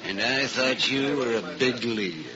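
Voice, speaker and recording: An actor playing a gruff, excitable, fatherly baseball manager in an emphatic 1950s radio drama style. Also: mid-range voice, measured pace, background noise, lightly acted. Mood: earnest, resigned. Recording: muffled old radio broadcast with music.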